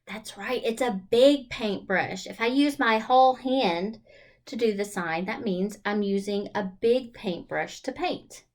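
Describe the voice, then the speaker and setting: A woman hosting a storytime for young children, talking in an encouraging, exaggerated child-directed way with questions and pauses. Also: mid-range voice.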